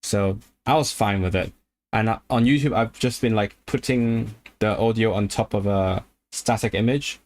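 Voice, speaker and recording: A man's voice: fairly low pitch, normal speed. A man, casually chatting on a livestream and answering viewers in a rambling way. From Hong Kong. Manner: rambling, with fillers and self-corrections. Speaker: language YouTuber on a livestream.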